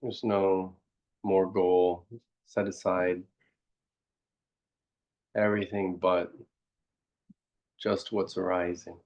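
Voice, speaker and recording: A man leading a guided meditation as a teacher, speaking reflectively in short, unhurried phrases and posing gentle questions. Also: low-pitched, level tone, lo-fi sound.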